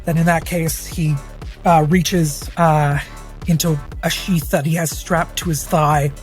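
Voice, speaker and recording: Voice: mid-range voice, level tone, unhurried, soft background music. A player in a tabletop game, talking casually and thinking aloud about their character's moves.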